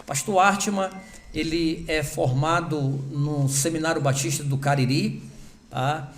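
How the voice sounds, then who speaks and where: A man's voice: a little high, steady tone. A man serving as host pastor, reading scripture and praying in church with an earnest, emphatic delivery. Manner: earnest, emphatic, slowing on key words.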